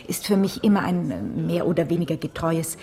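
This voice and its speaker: Lively-pitched, brisk, candid woman. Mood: curious, thoughtful. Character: brisk, candid woman colleague minding small children. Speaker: actor in a radio crime play. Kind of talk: 1980s radio drama dialogue.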